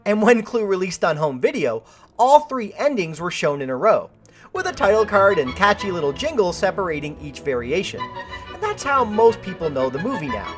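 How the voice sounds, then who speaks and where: A woman's voice: medium pitch, very animated, normal speed, soft background music. A woman with a Canadian accent hosting a scripted film video essay, talking playfully and directly to the viewer. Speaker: video host and film commentator. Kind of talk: scripted video essay narration with chatty asides.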